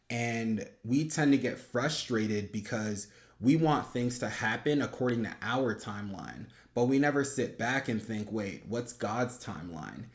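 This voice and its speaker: Young man in an echoey room, reflective commentary. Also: mid-range voice. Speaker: video host reviewing a song. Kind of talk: reflective faith-based commentary, conversational. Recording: roomy, echoing sound.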